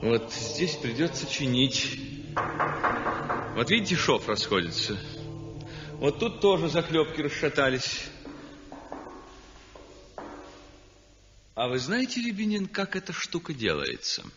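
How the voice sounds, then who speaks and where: An actor playing a self-satisfied, worldly, mercenary painter in a 1960s radio play, chatty and theatrical. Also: male voice, a little high, huge pitch swings, measured pace, faint music behind. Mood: chatty, explaining. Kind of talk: 1960s radio play acting, confiding monologue. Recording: dull 1960s radio recording, long reverb.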